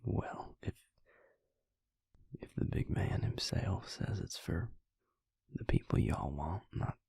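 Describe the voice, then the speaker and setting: A man playing a gentle, devoted vampire lover in an intimate roleplay audio, speaking tenderly and haltingly in a breathy, gravelly near-whisper. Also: deep voice, no echo, exaggerated voice. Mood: wry, affectionate. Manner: slow, tender, halting delivery.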